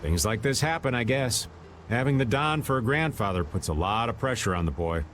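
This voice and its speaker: A man's voice: mid-range voice, animated, fairly quick, a bit bright, a little hiss or hum, natural voice. A man playing a roguish, easygoing middle-aged rascal in a video game, playful and world-wise. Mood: world-weary. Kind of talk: video game dub acting.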